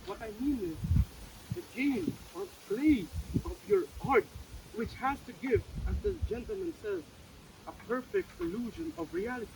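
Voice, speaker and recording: Male actor playing philosophical stage character, earnest, emphatic, formal, high male voice, distant mic, faint noise. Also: animated, normal speed. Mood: earnest, polite. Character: earnest, philosophical man who is a play character, insistent. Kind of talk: stage play dramatic reading.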